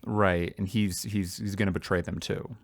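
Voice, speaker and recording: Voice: deep voice, steady tone, normal speed, gravelly, dry recording, clean studio sound. A podcast co-host in a casual creative brainstorming chat, talking enthusiastically and often doubling back on words.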